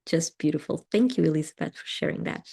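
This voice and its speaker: A woman who is not a native English speaker leads a live meditation session. She answers chat comments gently, with frequent small laughs and pauses. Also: low-pitched, animated, vocal fry, husky. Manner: gentle and affirming, laughs often, with pauses and ums. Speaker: meditation teacher.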